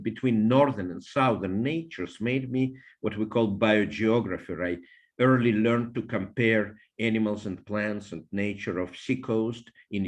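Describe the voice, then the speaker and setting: An older man with a Russian accent, a biologist answering interview questions in a measured way, with frequent fillers and restarts. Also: fairly low pitch. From Soviet Union, Russia (Siberia) and Ukraine.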